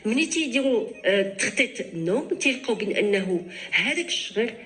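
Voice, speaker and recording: A woman's voice: mid-range voice, expressive, fairly bright tone. A woman doctor with a Moroccan accent, answering callers on a radio advice show, mixing in French and restarting often. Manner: fast Darija mixed with French, many restarts.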